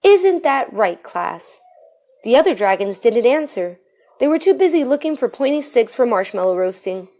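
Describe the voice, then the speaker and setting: Lady with a highish voice reading a kids picture book out loud, expressive storytime style. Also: brisk. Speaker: storybook reader. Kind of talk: expressive read-aloud of a children's story.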